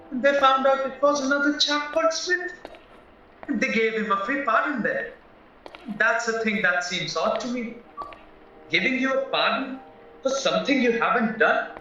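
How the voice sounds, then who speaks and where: A man's voice: extremely high pitch, animated, measured pace, pauses often, pushed and bright, heavily acted. A man acting a nervous, naive young drifter in a radio play, chatty, polite and hesitant. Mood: anxious. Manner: anxious, polite, hesitant, rambling. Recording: roomy, distant, muffled, music underneath.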